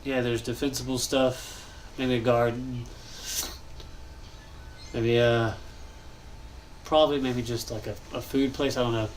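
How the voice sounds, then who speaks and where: A man's voice: medium pitch, little pitch movement, unhurried, pauses often, a little hiss or hum, poor audio. Congested young man musing over a build. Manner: rambling, thinking aloud, frequent coughs and throat clearing. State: congested, nasal. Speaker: gaming channel host. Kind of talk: casual commentary while building in a game.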